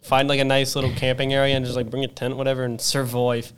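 A man's voice: medium pitch, little pitch movement, fast talker, smooth, low-quality recording. A young man hosting a podcast, steering the talk along with joking asides. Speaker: podcast host. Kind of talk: casual chat.

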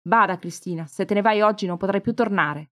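A woman voicing a harsh, bitter middle-aged mother who shouts threats and insults at her daughter, in a read-aloud story. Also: medium pitch, a little fast, dry recording, clean studio sound, lightly acted. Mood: threatening. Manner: shouting, contemptuous.

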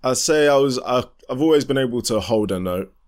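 A young man, a musician chatting casually in an interview, relaxed and full of fillers and restarts. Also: fairly quick. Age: young adult. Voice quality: deep voice. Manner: relaxed, rambling, many fillers and restarts, laughs often. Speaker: independent musician guest.

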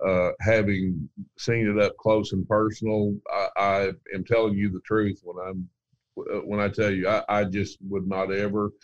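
Very deep-voiced earnest man. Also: little pitch movement, normal speed, no room sound, lo-fi sound. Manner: earnest, plainspoken, with frequent fillers. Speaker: account manager. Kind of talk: casual interview talk.

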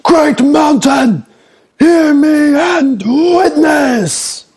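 A man voicing a huge, proud goliath warrior who speaks in clipped, broken sentences and chants and booms his words. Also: expressive, slow pace, vocal fry, heavily acted. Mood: roaring. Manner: booming, chanting, clipped grammar.

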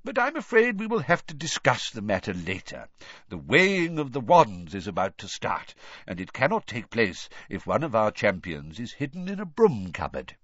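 A man voicing a courteous old male wizard headmaster with a dry wit, speaking in a measured way, in a dull, dry recording. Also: mid-range voice, huge pitch swings, fairly bright tone, dry recording. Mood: courteous, wry.

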